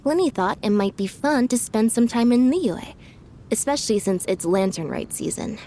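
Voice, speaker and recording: A woman's voice: a little high, lively intonation, moderate pace, warm tone, natural voice. A woman playing a reticent, cool young woman in a video game, with a dry, blunt, understated delivery. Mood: deadpan.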